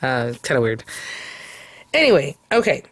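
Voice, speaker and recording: A middle-aged woman hosts a casual vlog with a hoarse, worn-out voice. She rambles in a chatty way and leans on plenty of fillers. The sound is dry and close, with no room behind it. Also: very deep voice, very animated, normal speed, no echo. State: hoarse, lost voice. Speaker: crafting channel host.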